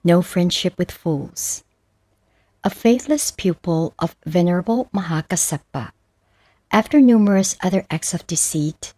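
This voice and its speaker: A woman reading scripted spiritual stories and verses as a narrator, in a measured, solemn manner. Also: on the low side, warm tone, no echo. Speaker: audiobook narrator.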